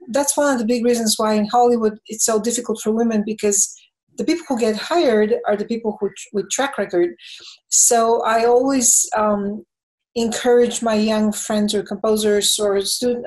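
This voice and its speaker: A woman's voice: fairly high pitch, fairly even intonation, a little mellow, low-quality recording. Woman in her fifties, Bulgarian accent, composer interviewee, thoughtful, video call from home. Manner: thoughtful, fills pauses with "you know". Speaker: film and game composer, guest. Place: home room with bookshelves. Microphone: webcam on video call.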